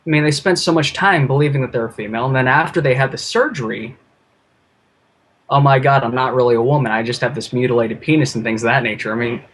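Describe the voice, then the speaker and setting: A man's voice: medium pitch, quick pace, fairly bright tone. A man talking casually as a podcast guest, in an informal, rambling way. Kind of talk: casual chat.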